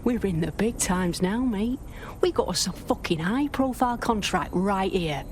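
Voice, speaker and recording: An excitable woman hacker from a game, played by an actress. Her pitch swings widely as she gushes in sweary slang. There is faint noise behind her. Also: moderate pace, close to an everyday voice. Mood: excited, urgent. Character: excitable, foul-mouthed female hacker recruiter. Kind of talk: scripted video game dialogue.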